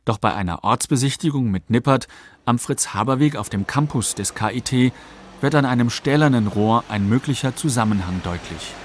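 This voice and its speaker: A man narrating a scripted radio documentary in a factual, measured way at a close studio microphone. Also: mid-range voice, slight background noise.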